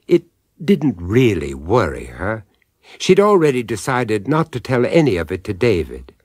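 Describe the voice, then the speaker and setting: An actor playing the measured, dramatic narrator of a radio horror drama. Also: male voice, on the low side, wildly swooping pitch, a little mellow, slightly rough, no echo, clean studio sound, exaggerated voice. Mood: measured, suspenseful. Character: measured storyteller of a supernatural tale.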